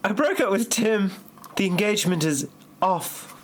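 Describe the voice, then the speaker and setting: A man's voice: high-pitched, animated, normal speed, a little hiss or hum. A man playing a sweetly romantic, slyly mocking woman in love in an amateur parody audio play.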